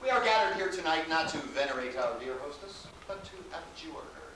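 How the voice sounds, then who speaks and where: In a very echoey hall, heard from a distance, a man plays a pompous orator with a bright, forceful voice. He declaims in florid, mocking phrases with big swings of pitch. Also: lively intonation, a little fast. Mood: mock-solemn, scornful. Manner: grandiloquent, declamatory. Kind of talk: theatrical monologue. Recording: very echoey hall from far off, faint noise.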